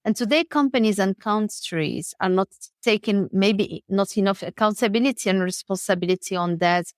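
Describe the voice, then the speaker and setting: A woman's voice: mid-range voice, moderate pace, no echo, clean studio sound. A woman from Morocco hosting a podcast, interviewing a guest and affirming her answers with encouragement. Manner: warm, encouraging, frequent affirmations. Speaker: podcast host.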